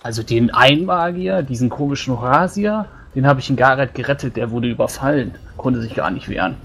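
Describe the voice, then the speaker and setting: A man's voice: mid-range voice, a little fast, vocal fry, fairly bright tone. Man playing a grumbling dwarf warrior, faint noise. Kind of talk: improvised tabletop roleplay.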